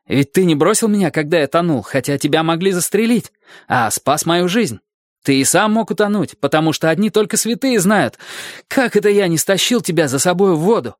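Male narrator as a brave young hero, loyal, hot-headed, emphatic, somewhat high pitch, adventure audiobook. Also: normal speed, no room sound, studio quality, close to an everyday voice. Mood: earnest. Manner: emphatic, earnest exclamations.

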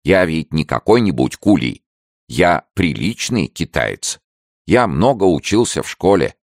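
Man doing a boastful stout merchant, sly, slow and wheedling, very deep gravelly voice, dry close sound. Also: big pitch swings, no room sound, exaggerated voice. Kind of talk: audiobook character voice.

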